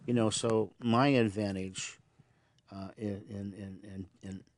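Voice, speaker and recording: A man's voice: on the low side, fairly even intonation, slow pace. A man in his sixties from Montana, a veterans service officer answering interview questions earnestly, often repeating words as he starts a thought.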